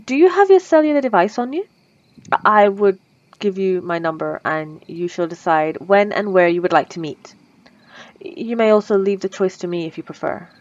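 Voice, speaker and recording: A woman voicing a refined, possessive female vampire centuries old, speaking in a formal, old-fashioned way in a soft, intimate ASMR roleplay. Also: medium pitch, moderate pace, no room sound, muffled recording, natural voice. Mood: courteous, eager.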